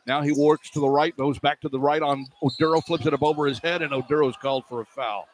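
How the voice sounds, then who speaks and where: Male sports announcer, higher voice, live radio basketball commentary, clipped short phrases, faint arena noise behind. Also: fairly even intonation, quick pace.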